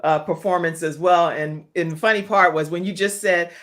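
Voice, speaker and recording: A woman's voice: lowish voice, steady tone, moderate pace, fairly bright tone. Chatty woman hosting a talk show. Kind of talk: live interview with casual chat.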